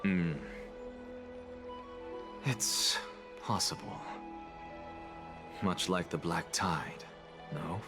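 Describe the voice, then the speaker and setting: Low-voiced, aloof cynical professor. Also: male voice, gravelly, over music, heavily acted. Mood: grave, dry. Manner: dry, dismissive, deliberate. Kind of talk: anime-style game voice acting.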